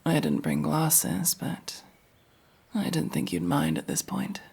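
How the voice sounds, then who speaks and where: A man playing a guarded, world-weary elf mercenary, centuries old but young-looking, in an intimate, brooding ASMR fantasy roleplay with a breathy, gravelly, halting delivery. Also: on the high side, wildly swooping pitch, noisy background, heavily acted. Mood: brooding, wistful. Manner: brooding, halting, often dropping to a whisper.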